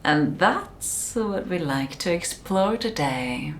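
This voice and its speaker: ASMR roleplay: actress as calm, controlling female conditioning specialist. Low, soft, whispery voice, soothing clinical manner, faint noise behind. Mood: measured, knowing. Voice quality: soft, breathy, often whispering. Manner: slow, deliberate, soothing, clinical.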